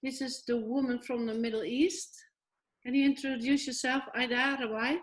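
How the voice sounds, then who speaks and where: A woman's voice: high-pitched, flat delivery, moderate pace, low-quality recording. A woman hosting a talk show, introducing guests and prompting them with friendly questions. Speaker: show host.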